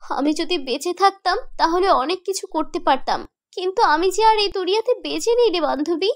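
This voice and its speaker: Woman acting a vengeful ghost, young female, extremely high pitch, accusing, emphatic, horror cartoon. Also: fairly quick. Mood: urgent, sorrowful.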